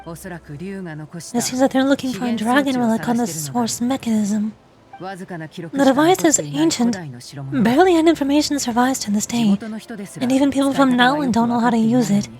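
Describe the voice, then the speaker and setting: Woman playing a serious, high-voiced young game adventurer. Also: lively intonation, moderate pace, pauses often, a crowd in the background, close to an everyday voice. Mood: explanatory. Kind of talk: video game voice acting.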